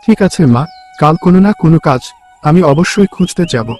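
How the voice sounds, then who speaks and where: A man's voice: a little high, animated, quick pace, darkish tone, faint music behind, close to an everyday voice. A man playing a poor young day labourer in a cartoon, dutiful and worried, speaking earnestly and respectfully. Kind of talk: Bengali cartoon story dialogue.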